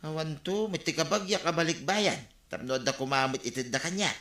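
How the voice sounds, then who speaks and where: A man's voice: on the high side, a little fast, pushed and bright, echoey room. A man playing an envious, grumbling village neighbour with an Ilocano accent in a comedy radio drama.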